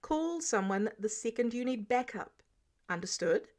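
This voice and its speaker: A woman's voice: on the high side, lively intonation, normal speed, a little mellow, close to an everyday voice. A woman voicing a stern, protective man who lays down rules in a sarcastic, bossy way for a story reading. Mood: firm, protective. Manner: sarcastic, bossy, clipped commands. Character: stern, exasperated, protective adult man, mentor laying down rules.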